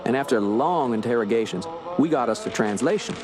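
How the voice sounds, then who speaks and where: An actor playing a steady, authoritative police inspector, recounting a grim case in a radio drama. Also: male voice, mid-range voice, fairly quick, noisy background, close to an everyday voice. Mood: composed, authoritative. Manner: composed, authoritative. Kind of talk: radio drama narration and dialogue. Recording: music under the voice.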